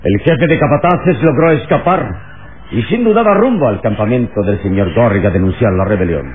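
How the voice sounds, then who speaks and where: An actor playing a calm, authoritative heroic leader in an old radio drama, speaking firmly and deliberately. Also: male voice, mid-range voice, close to an everyday voice. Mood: calm, resolute. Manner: firm, commanding, deliberate. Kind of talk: vintage radio drama acting. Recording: tinny, noisy old radio recording.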